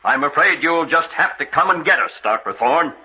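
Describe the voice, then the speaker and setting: Terse, wry adventurer leader male actor, slightly high voice, vintage radio mystery with dull sound. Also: animated, fairly quick, close to an everyday voice. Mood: wry defiance. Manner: terse, wry, coolly defiant. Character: cool, tough male adventurer leading his comrades. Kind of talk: old-time radio mystery acting. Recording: muffled old radio recording.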